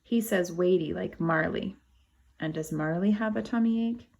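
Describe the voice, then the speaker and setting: A woman reading a children's picture book aloud as a teacher, pausing to explain things and ask the children questions. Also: fairly low pitch, gravelly, a little mellow. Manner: explains to young children, asks them questions. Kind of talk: animated storybook read-aloud with asides to children.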